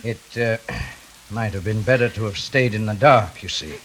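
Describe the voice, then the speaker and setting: An actor playing a menacing intruder in a 1940s radio suspense play, threatening people with mock courtesy. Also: male voice, lowish voice, normal speed, close to an everyday voice. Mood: quiet menace. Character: menacing, coldly polite scarred male intruder. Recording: noisy vintage radio recording.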